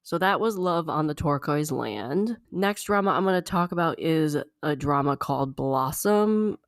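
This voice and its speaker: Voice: mid-range voice, expressive, unhurried, dry recording, studio quality. A podcast host talking casually about upcoming dramas, rambling and chatty, sounding slightly congested. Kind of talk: casual solo podcast chat.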